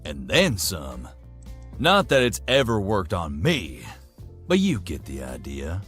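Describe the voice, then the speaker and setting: A man's voice: deep voice, wildly swooping pitch, takes it slowly, fairly bright tone, faint music behind, exaggerated voice. A man voicing a big, teasing, grinning fellow with a folksy, playful manner in a visual novel game. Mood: wry, teasing. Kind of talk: visual novel character acting.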